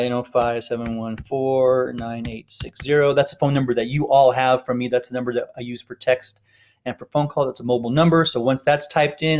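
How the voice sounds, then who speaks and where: A man's voice: medium pitch, darkish tone. A man giving an informal, step-by-step tutorial narration as an instructor. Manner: explanatory, step-by-step.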